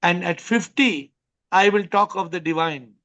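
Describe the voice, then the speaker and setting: High-voiced man leading spiritual Q&A. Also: unhurried, sharp tone. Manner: probing, repeats questions to lead the answer. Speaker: spiritual teacher hosting a question session. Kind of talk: online spiritual Q&A.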